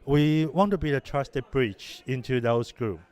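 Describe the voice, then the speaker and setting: Halting middle-aged man from Taiwan. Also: medium pitch, darkish tone, smooth. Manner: halting, many restarts and fillers. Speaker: interviewed innovation lab director. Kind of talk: conversational interview answers.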